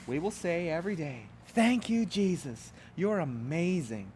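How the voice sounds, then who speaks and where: Man, slightly high voice, very lively intonation, dramatic storybook read-aloud for small children, noticeable background rumble. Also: a little slow. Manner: dramatic, simple emphatic phrasing for small children. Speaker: pastor reading a children's storybook. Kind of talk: expressive storybook read-aloud with asides to young listeners.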